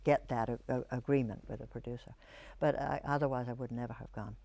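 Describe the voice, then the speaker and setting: An older woman, an actress, reminiscing in an interview, telling stories in a reflective, halting way. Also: a bass voice, fairly even intonation, creaky, mellow.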